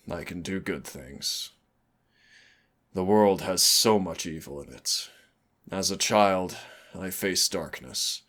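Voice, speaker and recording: A man playing a suave, brooding immortal demon in an intimate roleplay audio drama, speaking with measured, solemn and darkly affectionate drama. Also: sits low, fairly bright tone, slightly rough, exaggerated voice. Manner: teasing endearments, measured, solemn dramatic delivery. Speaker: demon hunter's mentor and partner.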